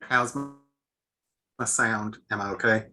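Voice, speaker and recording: A man's voice: fairly low pitch, takes it slowly, pushed and bright. A man who is an actor, casually introducing himself to a group.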